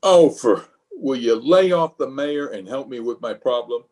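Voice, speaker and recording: An actor playing a pompous, fussy middle-aged water commissioner who gets easily exasperated, in an old-time radio comedy style. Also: male voice, mid-range voice, animated, unhurried, a little mellow, natural voice. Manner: self-important, exasperated.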